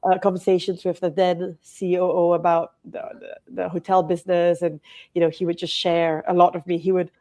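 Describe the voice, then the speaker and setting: A woman's voice: fairly low pitch, level tone, moderate pace, warm tone. A woman in her forties from Malaysia, a hotel company CEO chatting as a podcast guest, a little nasal from hay fever, with many fillers and restarts. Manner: chatty, frequent restarts and fillers, laughs often. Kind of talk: casual interview conversation.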